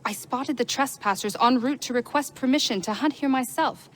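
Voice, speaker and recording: A woman's voice: high-pitched, normal speed, a bit bright, slight background noise. An actress playing a proud, hardy frontier huntswoman in a video game, direct and matter-of-fact.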